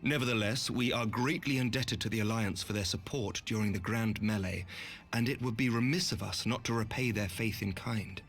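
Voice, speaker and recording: An actor playing a courteous noble lord commander in a video game, speaking formally and earnestly in measured phrases. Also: male voice, lowish voice, fairly bright tone, soft background music. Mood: solemn, stately. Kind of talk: video game cutscene acting.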